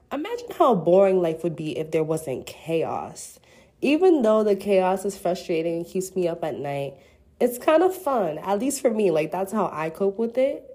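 A woman's voice: on the low side, very animated, moderate pace, mellow. A woman in her twenties hosting a casual solo podcast, playful and slangy, sounding congested from a cold. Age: 20s. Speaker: podcast host.

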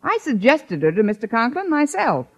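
An American actress playing a wry, scheming schoolteacher with dry, sardonic quips in a 1950s radio sitcom. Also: female voice, fairly high pitch, sing-song, normal speed, a little mellow. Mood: sly, wry. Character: wry, scheming schoolteacher woman with a quick quip. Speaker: lead character and narrator. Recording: muffled old radio broadcast.

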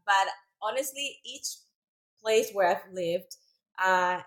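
Woman, native Spanish speaker, Central American accent, mid-pitched voice, podcast guest, conversational storytelling. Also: slow pace, a bit bright. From Costa Rica. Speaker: podcast guest, Zumba instructor.

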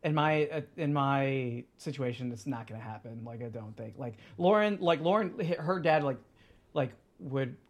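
A man's voice: medium pitch, expressive, moderate pace. A man in his thirties chatting casually as a podcast guest, full of fillers and restarts. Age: 30s. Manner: rambling, many fillers and restarts. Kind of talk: casual chat.

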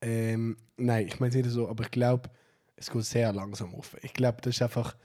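Young man, Swiss German accent, has a cold, mid-pitched voice, dry and teasing, casual chat. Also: steady tone, normal speed, mellow. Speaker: podcast co-host.

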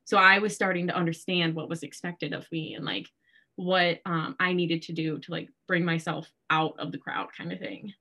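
A young American woman with a fairly low voice talks casually about herself. Her delivery is chatty and conversational, sprinkled with "like" as she goes. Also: fairly even intonation, normal speed, bright, forceful tone. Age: early 20s. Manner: chatty, heavy use of "like". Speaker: interview guest. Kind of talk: casual chat, personal storytelling.

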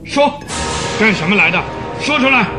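A man playing a menacing, rough bearded man in a radio drama, shouting gruff demands. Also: sits high, expressive, normal speed, music in the background. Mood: threatening.

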